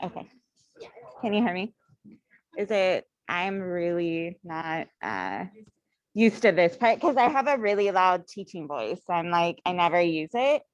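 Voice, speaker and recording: A woman's voice: fairly low pitch, animated, unhurried, dry recording. A woman, a math coordinator and teacher, introducing her new duties to parents in a plain, matter-of-fact way. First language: English. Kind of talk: staff introduction. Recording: slightly dull dry sound.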